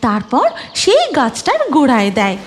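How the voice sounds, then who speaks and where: High, animated woman storyteller. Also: moderate pace. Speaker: narrator. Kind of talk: scripted children's story narration.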